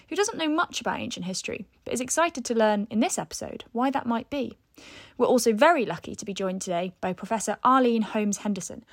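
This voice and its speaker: A woman hosting a podcast, reading a scripted introduction in a bright, read-aloud way. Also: mid-range voice, no echo, studio quality. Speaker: podcast host.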